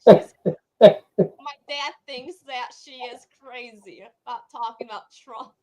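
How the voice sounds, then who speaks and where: A woman chatting casually in a halting way, often repeating her words and phrases. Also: fairly high pitch, dark tone, rough recording. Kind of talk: casual chat.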